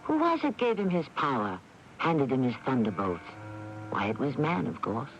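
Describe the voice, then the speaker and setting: A man giving a dramatic scripted introduction as a mystery radio host, theatrical and deliberate in his phrasing. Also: mid-range voice, sing-song. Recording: dull, noisy AM radio recording.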